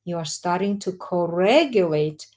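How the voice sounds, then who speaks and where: A woman answering as a guest author, calm and emphatic as she explains. Her voice sits a little low for a woman. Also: unhurried. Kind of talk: interview answers.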